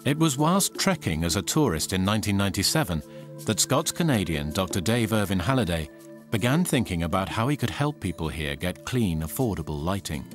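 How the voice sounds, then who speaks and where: A man with a low voice reads scripted documentary narration in a measured, lively way. His pitch rises and falls a lot. Clear music plays underneath him.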